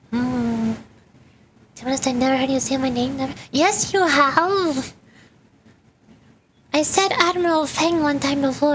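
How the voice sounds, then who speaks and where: A woman livestreamer chatting casually with her viewers in a playful, animated, bantering way. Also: high-pitched, a little hiss or hum. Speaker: VTuber livestreamer. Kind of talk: casual chat with stream viewers.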